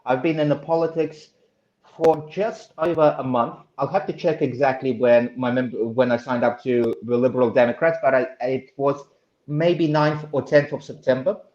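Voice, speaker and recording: A man in his thirties, originally from Russia, answering interview questions as a political candidate in an earnest, halting way with many pauses and restarts. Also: medium pitch, steady tone, a little mellow. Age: 30s. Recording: echoey room, dull lo-fi sound.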